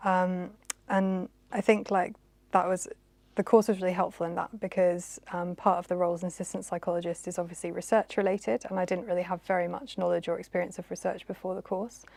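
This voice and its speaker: A woman's voice: fairly low pitch, level tone, brisk, gravelly, a little mellow, no echo. A woman, an assistant psychologist, casually describing her path with some hesitation. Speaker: assistant psychologist, former master's student. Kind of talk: recorded career testimonial.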